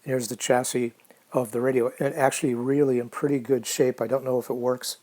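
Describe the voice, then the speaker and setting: Male hobbyist narrator: relaxed, dark-toned, fairly monotone, unhurried and informal, talking through his own work. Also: medium pitch. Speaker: hobbyist restorer narrating a project. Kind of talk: casual DIY narration.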